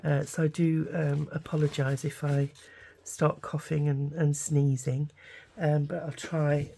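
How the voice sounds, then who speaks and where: A woman hosts a homemade crafting video, her voice hoarse and gravelly from a cold. She talks slowly and haltingly, with lots of ums and restarts, and trails into mutters as she works. Her tone is low, dark and flat. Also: slight background noise. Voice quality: gruff, gravelly. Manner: hesitant, many ums and restarts, mutters while working. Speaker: craft channel host. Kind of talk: casual crafting tutorial.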